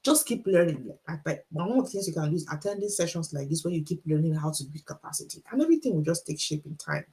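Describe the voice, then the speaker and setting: Nigerian woman with a gravelly low voice. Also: lively intonation, warm tone. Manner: encouraging, repeats key lines, asks rhetorical questions; speaks deliberately so listeners can follow. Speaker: author and motivational speaker. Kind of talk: motivational livestream talk.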